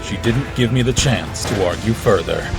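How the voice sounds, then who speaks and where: A solemn royal marshal, acted by a man in an audio drama. The voice is lowish and gravelly, grave and formal. Music runs clearly beneath it. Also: normal speed. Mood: somber narration. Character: solemn loyal male royal marshal recounting events. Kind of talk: first-person audio drama narration.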